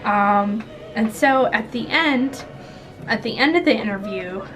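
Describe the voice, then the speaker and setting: A woman's voice: a little high, animated, measured pace, fairly bright tone, faint music behind, rough recording. A woman, chatting casually to the camera and giving tips in a rambling, giggly way. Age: 20s. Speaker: vlogger giving interview tips. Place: small indoor room at home.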